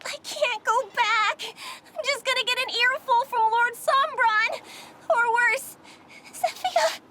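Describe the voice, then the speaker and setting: A woman's voice: very high-pitched, slow, bright, forceful tone, a little hiss or hum, full character voice. A woman voicing a bratty, vain young girl villain in a video game, whiny and petulant. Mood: tearful panic. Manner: whiny, petulant, swings between boasting and wailing. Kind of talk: video game cutscene voice acting.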